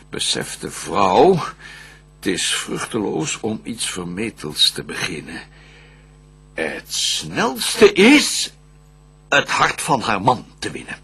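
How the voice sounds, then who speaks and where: Husky, swooping theatrical lord of hell. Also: male voice, lowish voice, fairly bright tone, heavily acted. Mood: sly, brooding. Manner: declamatory, measured, theatrical verse delivery. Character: scheming, vengeful fallen lord of hell, grandly theatrical. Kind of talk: classical verse radio play acting.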